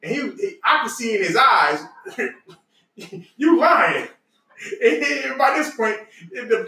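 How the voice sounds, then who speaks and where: A man's voice: high voice, bright, forceful tone. An adult man casually telling family stories, candid and repeating himself as he goes. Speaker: podcast host. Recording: roomy echo, slightly distant mic.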